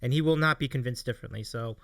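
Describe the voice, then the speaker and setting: A man's voice: medium pitch, fast, gravelly, a bit bright. An American man hosting a casual livestream chat, chatty and appreciative, often repeating his phrases. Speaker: show host reading audience questions.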